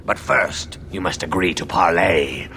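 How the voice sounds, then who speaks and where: A male actor playing a gruff, wary werewolf guardian with a deep, gravelly voice in a fantasy video game. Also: a little slow, sharp tone, a little hiss or hum, heavily acted. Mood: guarded, solemn.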